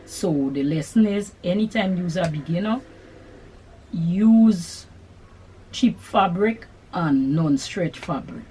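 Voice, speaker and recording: A middle-aged Guyanese woman answers viewer questions in a relaxed, chatty way, with Creole-tinged phrasing. Her voice is a little husky and sits slightly low, and she talks slowly. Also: rough recording. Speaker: sewing channel host. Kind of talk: casual Q&A chat to camera.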